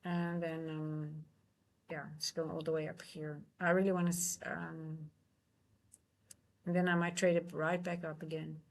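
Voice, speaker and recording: A woman with a Dutch accent, a native Dutch speaker, hosting a live trading stream, thinking aloud in halting, casual commentary. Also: sits low, level tone. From Netherlands.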